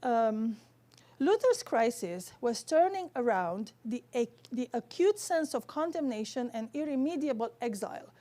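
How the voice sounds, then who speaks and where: A woman with a Romanian accent giving an academic theology lecture in careful, halting, scholarly phrasing. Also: a little high, expressive, dark tone.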